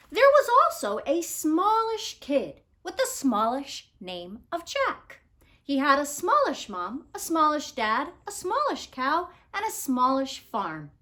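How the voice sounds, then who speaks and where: Slow, animated woman reading a storybook. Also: high voice. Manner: expressive, theatrical, deliberate phrasing. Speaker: storybook reader. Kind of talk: animated read-aloud of a picture book for children.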